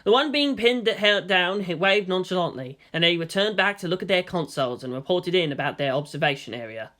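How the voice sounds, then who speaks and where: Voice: mid-range voice, animated, a little fast, fairly bright tone. A narrator reading a story aloud in a dramatic, scripted audiobook style.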